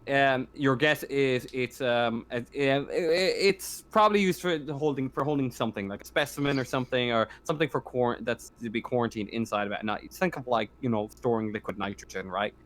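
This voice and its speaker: A man working as game master, narrating a sci-fi tabletop roleplaying game in a halting, improvised way. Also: medium pitch, fairly bright tone.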